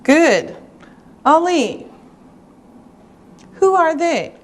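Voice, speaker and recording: A woman teaching English to beginners, enunciating carefully and deliberately in a scripted lesson. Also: very high voice, very animated.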